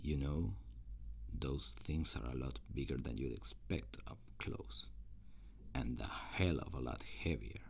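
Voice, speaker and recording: Man voicing a very deep, gravelly, sardonic demon. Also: animated, a little mellow, full character voice. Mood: darkly amused. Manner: slow, dry, intimate, sardonic. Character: reluctant male demon guardian, jaded, sardonic, gleefully cruel. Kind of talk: ASMR roleplay.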